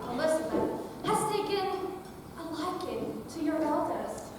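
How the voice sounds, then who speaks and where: Distant in an echoing hall, a young woman plays a jovial gentleman shark in a high voice. The talk is chatty and clubby, in broad stage comedy style. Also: animated, a little slow. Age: teenage or young adult. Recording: noisy echoey hall from far off.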